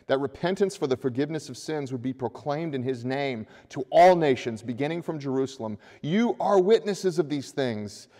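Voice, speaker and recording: A middle-aged man preaching a sermon from a church pulpit, reading scripture and rising in pitch with energy as he presses his points. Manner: animated preaching with raised hands, voice climbing when stressing a point. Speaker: pastor. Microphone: far camera. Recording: long church reverb.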